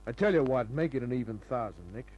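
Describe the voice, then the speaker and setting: An actor playing a tough, cool private detective in an old-time radio drama, laconic and hard-boiled, narrating and talking. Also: male voice, mid-range voice, expressive, fast talker, darkish tone, highs cut off, lightly acted. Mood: businesslike. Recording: old radio recording, music behind.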